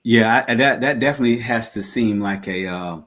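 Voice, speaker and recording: A man with a fairly low voice works as an interviewer. His style is conversational and somewhat tentative, and he builds his questions in halting pieces. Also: fairly bright tone. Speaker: interviewer, radio show host.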